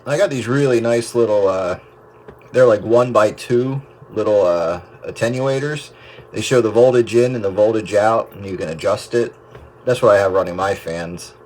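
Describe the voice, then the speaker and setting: A man's voice: fairly low pitch, moderate pace, darkish tone, poor audio. A man chatting casually over a two-way radio with other operators. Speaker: radio operator in a group chat. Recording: hissy two-way radio transmission.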